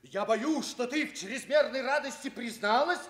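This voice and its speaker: An actor playing an agitated, scolding husband in a theatrical fairy-tale radio play, exclaiming and declaiming. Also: male voice, very high-pitched, moderate pace, a bit bright.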